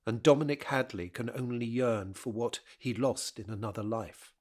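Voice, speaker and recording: A man narrating a scripted period drama in a measured, rhetorical manner. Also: mid-range voice.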